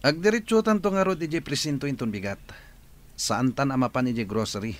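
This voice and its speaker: A man's voice: mid-range voice, a little fast, close to an everyday voice. A man playing an uneasy accomplice in a radio drama, talking in a questioning way.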